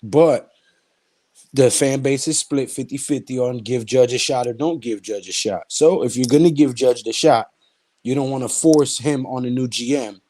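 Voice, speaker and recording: A man's voice: mid-range voice, moderate pace, mellow, no echo. A man co-hosting a casual sports livestream, talking bluntly and joking crudely with friends. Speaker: livestream co-host. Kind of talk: casual sports stream chat.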